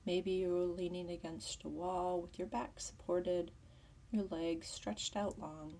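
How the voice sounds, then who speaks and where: A woman guides a yoga nidra meditation in a low, dark-toned voice. Her delivery is soft and husky, with soothing, measured instructions. She sounds a little distant from the mic. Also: slight background noise.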